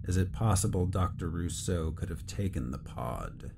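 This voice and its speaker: Curt space bureaucrat guy, low creaky voice, officious, audio drama interrogation. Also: expressive, normal speed, noisy background. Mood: cold, skeptical. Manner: clipped, formal, officious. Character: stern, curt male bureaucrat interrogating a prisoner.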